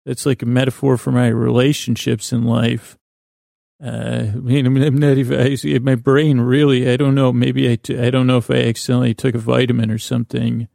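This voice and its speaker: A man with a creaky voice hosting a bedtime podcast, meandering and halting in a lulling, self-deprecating monologue. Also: medium pitch, little pitch movement, warm tone, dry recording, clean studio sound. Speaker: podcast host.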